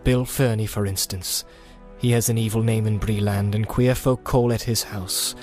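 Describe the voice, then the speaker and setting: A man voicing a grim, secretive, world-weary wanderer of the wilds in an audiobook, measured and guarded. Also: fairly low pitch, fairly even intonation, soft background music, lightly acted. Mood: stern warning. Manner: measured and guarded, often dropping to a hush. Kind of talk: audiobook character acting.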